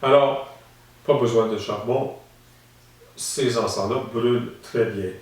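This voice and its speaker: A man talks through a demonstration, calm and explanatory, stopping often on small hesitations. His voice sits at an ordinary pitch and rings around a very echoey room, picked up from some way off the mic. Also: slow, far from the mic. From Saskatchewan, Canada. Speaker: instructor. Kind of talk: instructional demonstration.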